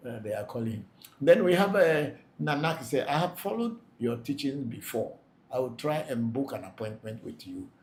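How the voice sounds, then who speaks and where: A man's voice: mid-range voice, a little mellow. A man who is a Vodu priest hosting a live question-and-answer session, reading out questions and answering them plainly, often repeating himself for emphasis. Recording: echoey room, faint background noise.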